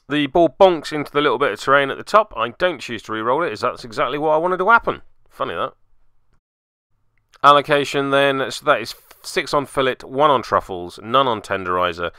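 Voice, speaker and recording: A man's voice: medium pitch, pushed and bright, clean tone, no room sound, clean studio sound. A man hosting a tabletop game web series, giving chatty voice-over commentary with wry, cheeky asides. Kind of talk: casual scripted-feel commentary over game footage.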